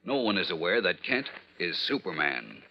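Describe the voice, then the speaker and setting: A man's voice: deep voice, big pitch swings, moderate pace. A man narrating a 1940s radio adventure in a dramatic, formal announcer style. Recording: muffled vintage radio recording with music.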